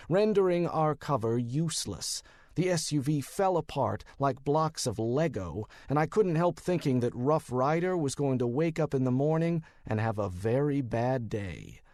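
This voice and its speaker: An American man narrates a detective audiobook in the first person. His delivery is wry and highly animated, with wide swings of pitch, recorded dry and close in a booth. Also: a little slow, no echo.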